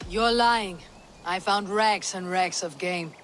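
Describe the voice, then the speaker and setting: A woman's voice: lowish voice, slow, fairly bright tone, a little hiss or hum. A woman playing a stern, blunt Viking warrior in a video game, questioning in a terse, commanding way. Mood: stern, probing. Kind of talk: video game cutscene acting.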